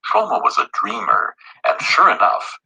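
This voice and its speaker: A man reads a children's story with animated intonation. His voice is sharply bright and somewhat low, with a husky grain. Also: moderate pace, dull audio. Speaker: narrator.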